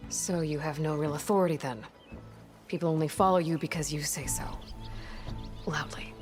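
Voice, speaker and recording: An actress playing a young, wry warrior heroine in a video game, speaking in a dry, sardonic, direct way. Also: female voice, deep voice, steady tone, moderate pace, a little mellow, soft background music, natural voice. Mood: challenging. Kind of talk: video game character acting.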